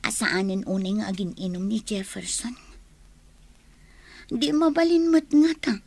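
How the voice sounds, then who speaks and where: A woman's voice: mid-range voice, animated, measured pace, gravelly, a little mellow. A woman acting a guarded, hesitant young woman being courted in a radio drama. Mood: pensive, quiet. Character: guarded young woman, courted but wary of drinking men. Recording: music under the voice.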